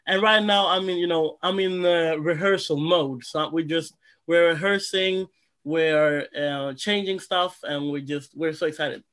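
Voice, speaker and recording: A man's voice: fairly high pitch, measured pace. A young man from Congo, a guest singer, talking eagerly over a video call from a room at home, often restarting his sentences. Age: young adult. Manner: eager, excitable, many restarts. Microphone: laptop webcam on a video call.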